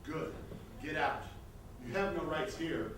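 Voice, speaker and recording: An actor playing a hot-headed, jealous young lover, indignant and forceful in a comic stage reading. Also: male voice, fairly high pitch, slow pace. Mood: outraged. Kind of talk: comic stage acting, readers theatre. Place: indoor stage or hall. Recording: echoey hall, distant mic, faint noise.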